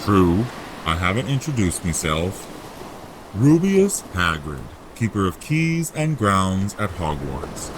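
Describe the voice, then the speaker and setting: A man voicing a huge, gruff but friendly giant with rustic, blunt speech in an audio drama. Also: low voice, very animated, takes it slowly, a little hiss or hum, heavily acted, low-quality recording. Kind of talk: audio drama character acting.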